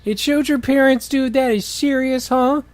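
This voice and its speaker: Man putting on a high, eager wizard boy. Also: fairly even intonation, normal speed. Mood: amazed. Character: excitable young male wizard student, hero's best friend.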